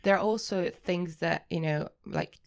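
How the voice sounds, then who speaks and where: A woman's voice: fairly low pitch, measured pace, creaky. A woman in her late twenties with an Italian accent, a native Italian speaker, chatting casually as an interview guest and often rephrasing as she goes. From Italy. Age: late 20s.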